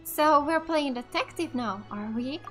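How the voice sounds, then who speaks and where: A woman's voice: high voice, unhurried, over loud music. A woman from Finland giving casual, playful commentary as she plays a video game. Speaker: gamer, let's play host.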